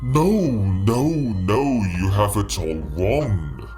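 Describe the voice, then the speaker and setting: A man's voice: on the low side, big pitch swings, very slow, mellow, faint music behind, exaggerated voice. A man voicing a menacing, smug robotic tyrant in a fan-dubbed cartoon, with a theatrical, mock-polite manner. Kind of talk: fan-dub cartoon villain acting.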